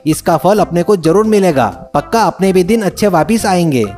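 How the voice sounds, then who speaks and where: A man's voice: high-pitched, moderate pace, darkish tone, faint music behind, close to an everyday voice. A man voicing an earnest young man in a storybook tale. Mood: consoling. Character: earnest young man, elder brother finding his feet. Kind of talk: storybook dramatized narration.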